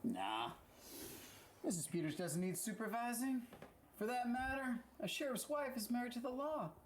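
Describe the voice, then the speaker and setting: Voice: mid-range voice, lively intonation, moderate pace, lightly acted. An actor playing a condescending, self-assured male county attorney in a stage play. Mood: patronising. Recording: noisy amateur recording.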